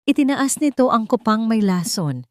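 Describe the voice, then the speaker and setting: A woman narrating a scripted story in a deliberate, suspenseful, dramatic way. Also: on the high side, sing-song, no echo, clean studio sound.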